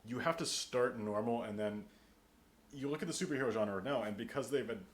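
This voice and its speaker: A man's voice: medium pitch, brisk. A man hosting a podcast, talking casually in a panel discussion. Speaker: podcast host. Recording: roomy echo with clear background noise.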